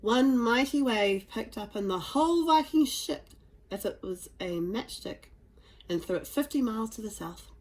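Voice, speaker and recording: A woman reading a children's storybook aloud with expressive, dramatic emphasis. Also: fairly high pitch, moderate pace. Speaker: storybook reader.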